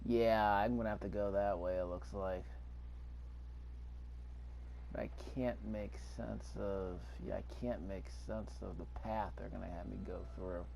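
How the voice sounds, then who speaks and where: A man with a fairly low voice gives casual commentary on a video game, rambling as he thinks out loud. There is clear background noise behind him. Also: steady tone, measured pace, low-quality recording. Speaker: gameplay video host. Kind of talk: casual let's-play commentary.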